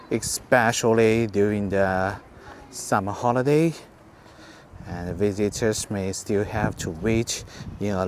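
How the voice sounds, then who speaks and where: A man from China with a Chinese accent narrates an informal museum tour vlog in halting, simple non-native English. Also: fairly low pitch. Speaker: vlogger giving a museum tour. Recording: clear background noise, on-location ambience.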